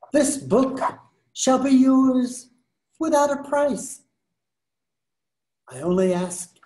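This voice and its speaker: An older man reading the part of the devil disguised as a friar, slow and coaxing, in a video call reading. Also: high voice, darkish tone, exaggerated voice, low-quality recording. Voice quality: slow, drawn-out, coaxing delivery. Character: devil posing as a sweet-talking friar, male. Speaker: reader in readers theater. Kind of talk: amateur readers theater over video call. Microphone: video call webcam.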